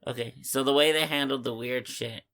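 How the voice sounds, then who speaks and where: A podcast co-host casually discussing films in a halting way, often searching for words. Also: mid-range voice, fairly even intonation, fairly bright tone.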